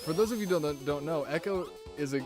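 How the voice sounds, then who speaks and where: A man streaming a video game, chatting casually with viewers in an excitable, rambling way and swearing often. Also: on the high side, brisk. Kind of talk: casual gameplay commentary. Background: music.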